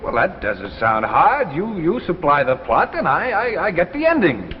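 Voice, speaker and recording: An actor playing an eager, polite aspiring writer in a 1950s radio drama, hesitant, stammering and deferential. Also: male voice, on the high side, huge pitch swings, normal speed, slight background noise. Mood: hesitant, curious. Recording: muffled old radio recording with reverb.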